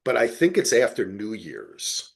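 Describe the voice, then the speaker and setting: A man's voice: lowish voice, huge pitch swings, a little slow, creaky. Man chatting emphatically on a podcast. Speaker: podcast host. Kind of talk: casual chat.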